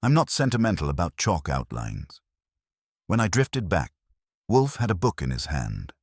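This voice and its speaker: Male audiobook narrator for detective fiction: very low pitch, expressive melody, dry close recording. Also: moderate pace, breathy edge, dry recording. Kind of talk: scripted first-person detective story narration.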